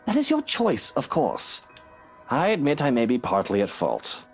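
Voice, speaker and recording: An actor playing a formal, concerned wealthy man, earnest and measured in his wording, in a visual novel game. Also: medium pitch, huge pitch swings, breathy edge, faint music behind, exaggerated voice. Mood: earnest, conciliatory.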